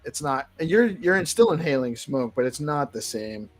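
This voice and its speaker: A man's voice: medium pitch, very animated, normal speed, faint music behind. A man hosting a casual morning talk show, chatting conversationally with guests. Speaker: host.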